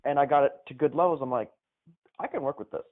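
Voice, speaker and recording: A man's voice: mid-range voice, fast. Phone-line male caller chatting casually. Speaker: caller on a gaming livestream. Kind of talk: casual chat, reminiscing about gaming. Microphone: live call into the stream. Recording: tinny, band-limited phone-like call.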